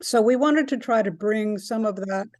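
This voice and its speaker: A woman speaking as a new board chair on a video call, earnest and pausing with fillers. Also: a little high, a little mellow, dry recording, clean studio sound.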